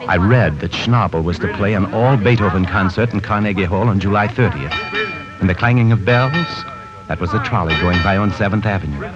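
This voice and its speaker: A man's voice: on the low side, fairly quick, close to an everyday voice. An actor playing an obsessed man who narrates his journal about a murder in a 1940s radio drama. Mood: haunted. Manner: brooding, haunted journal narration. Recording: lo-fi old radio broadcast with music.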